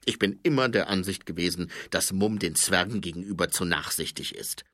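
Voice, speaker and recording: Fat old storybook sergeant, gruff and blustering, loud and husky; man narrator. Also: sits low, fairly quick, no room sound, clean studio sound. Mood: grumbling. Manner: blustering, grumbling. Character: fat older male sergeant, gruff, grumbling, prejudiced.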